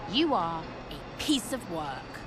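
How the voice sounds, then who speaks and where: A woman's voice: lowish voice, very animated, slow, over music. A woman playing a blunt, defiant young racing driver in a video game cutscene, with a curt, confrontational manner. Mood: angry, hurt.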